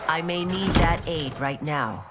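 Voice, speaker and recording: A woman's voice: sits low, expressive, unhurried, very noisy. A woman voicing a resolute female constable and former royal guard who speaks earnestly. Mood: determined. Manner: earnest, determined. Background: music.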